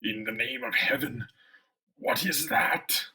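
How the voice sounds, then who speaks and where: A man acts out a bewildered soldier in a comic-book adventure. He sounds fearful and dramatic. The voice is creaky and swings widely in pitch. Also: sing-song, slow, sharp tone, distant mic, exaggerated voice. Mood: panicked. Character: alarmed, bewildered male desert soldier.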